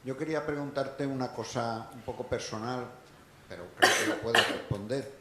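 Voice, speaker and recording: A man asking the guest a personal question, halting and searching for words. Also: mid-range voice, pushed and bright, a little hiss or hum. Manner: slow, hesitant questioning. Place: lecture hall. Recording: echoey hall, voice from far off.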